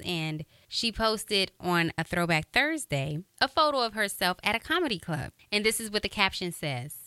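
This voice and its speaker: A woman radio broadcaster hosting a motivational podcast, with a high voice and an upbeat, encouraging way of talking. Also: pushed and bright, clear, resonant tone, no echo.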